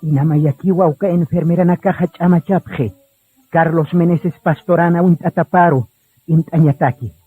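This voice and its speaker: A man's voice: a little high, fairly even intonation, moderate pace, soft background music. A man narrating a radio drama in a solemn style, on a narrow phone-like recording.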